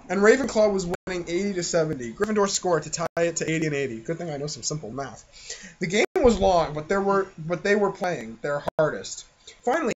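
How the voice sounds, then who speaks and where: A man reads a childhood story aloud on a comedy podcast, giggling and cracking jokes between lines. His voice swings widely in pitch. The recording sounds dull and lo-fi, with a faint hiss underneath. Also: fairly bright tone. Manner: giggly, keeps breaking into laughter while reading. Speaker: co-host. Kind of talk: reading a childhood fan fiction aloud, joking.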